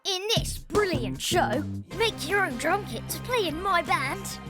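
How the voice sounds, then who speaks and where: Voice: very high voice, animated, unhurried. An actor voicing a cheerful, chatty schoolboy narrator in a children's cartoon. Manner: chatty, boyish. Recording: music behind the voice.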